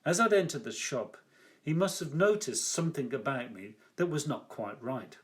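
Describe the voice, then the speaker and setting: An older man reading his own first-person story aloud like an author at a reading, theatrical and wry, with deliberate emphasis. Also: mid-range voice, animated.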